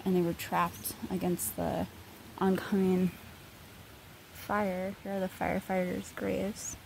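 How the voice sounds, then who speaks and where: A woman's voice: fairly low pitch, completely flat delivery, slow, creaky, darkish tone, a little hiss or hum, poor audio. A woman vlogging on a forest hike, musing as she points out spots on a map. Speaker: hiker vlogger. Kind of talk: casual on-trail commentary. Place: outdoors on a forest trail.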